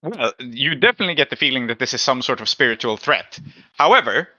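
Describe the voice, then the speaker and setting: A man acts as game master for a tabletop session. His voice is very bright and sharp at a middle pitch. He pieces descriptions together as he goes, and the recording is slightly dull. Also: animated, fast talker. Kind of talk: narrating an improvised tabletop game.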